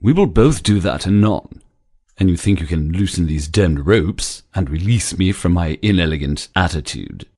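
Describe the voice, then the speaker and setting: A woman's voice: extremely low pitch, animated, moderate pace, warm tone, breathy edge, full character voice. A woman voicing a weary, good-humoured English baronet who speaks in sleepy, drawly, affected tones. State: weak and exhausted. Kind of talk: audiobook character voice.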